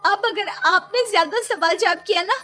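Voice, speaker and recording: A woman's voice: extremely high pitch, normal speed, bright, forceful tone, faint music behind, voice pushed up. A woman voicing a whiny, quarrelsome, indignant young wife in a children's cartoon. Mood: indignant, whining. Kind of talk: Hindi children's cartoon dubbing.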